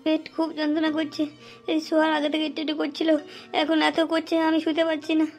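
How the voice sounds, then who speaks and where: Woman acting a high-pitched, wailing pregnant young woman. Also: completely flat delivery, quick pace, soft background music, natural voice. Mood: pained, pleading.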